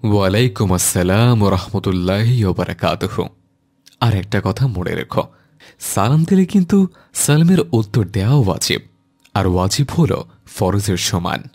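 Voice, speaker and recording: A fairly low man's voice with wide, lively intonation. He is a kindly Islamic teacher who instructs a child gently and clearly. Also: moderate pace. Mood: gentle, instructive. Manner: gentle, didactic. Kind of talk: animated cartoon dialogue.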